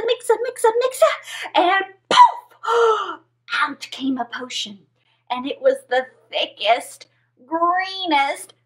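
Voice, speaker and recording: A woman's voice: very high-pitched, a bit bright. A woman in her thirties hosting a children's story time, telling tales with exaggerated expression. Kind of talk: animated storytelling for young children.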